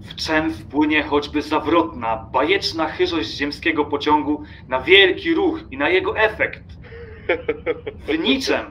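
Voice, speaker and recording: Irritable male passenger, played by a man, radio drama, forceful bright voice, slightly high, declamatory, scornful, faint noise. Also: a little slow, rough recording. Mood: impassioned lecturing. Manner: emphatic, declamatory, contemptuous. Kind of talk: audio drama acting.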